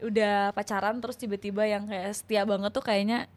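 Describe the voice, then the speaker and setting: A woman, hosting a casual podcast chat with a guest, curious and quick to laugh. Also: mid-range voice, level tone. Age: 20s. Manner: chatty, curious, laughs often. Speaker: host.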